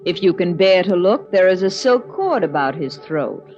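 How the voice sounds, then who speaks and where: An enigmatic, exotic woman played by an actress, coolly precise and formal. She speaks in a measured 1950s radio drama manner on a muffled recording. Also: lowish voice, lively intonation, over music, lightly acted.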